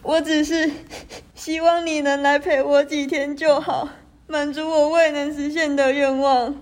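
A young woman playing the gentle, pleading ghost of a princess in an amateur radio drama. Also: high-pitched, flat delivery, measured pace. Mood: wistful pleading. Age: young adult. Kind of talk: student radio drama acting.

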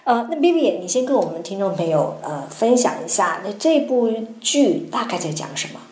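A woman's voice: mid-range voice, big pitch swings, moderate pace, a little mellow. A woman hosts a radio interview, talking with her guest in a conversational broadcast style. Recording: roomy, echoing room sound.

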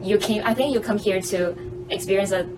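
A woman's voice: mid-range voice, a little fast. A young adult woman, a student guest who chats easily and laughs often. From Hong Kong. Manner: chatty, laughs often, hesitant fillers. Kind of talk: podcast interview. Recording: distant mic with loud music bed.

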